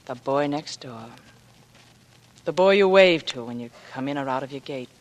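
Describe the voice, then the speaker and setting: A woman's voice: rumbling low, lively intonation, moderate pace, poor audio. A wistful young woman in a vintage radio drama. She is world-weary and homesick, speaking with tender, earnest urgency and a pleading edge. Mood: hushed, concerned. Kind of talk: 1940s radio acting.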